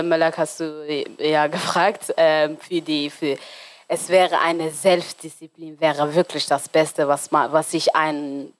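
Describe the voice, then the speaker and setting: A woman in her twenties from Somalia, German not her first language, talking as an interview guest and often pausing on fillers while searching for words. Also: low-pitched. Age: 20s. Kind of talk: casual interview talk.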